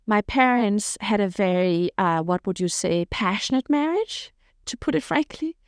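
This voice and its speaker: A woman's voice: medium pitch, moderate pace, clear, resonant tone, no room sound, clean studio sound. A middle-aged woman from Denmark, talking frankly and openly as a podcast guest about her past. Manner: frank, open, lively storytelling with frequent "you know". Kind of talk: candid interview storytelling.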